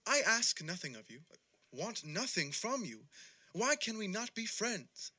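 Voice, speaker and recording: Warm-hearted young gentleman, high voice, very animated, earnest, hearty, audio play. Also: measured pace, heavily acted. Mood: earnest, pleading. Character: cheerful, warm-hearted young nephew, earnest and good-natured. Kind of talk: audio drama acting.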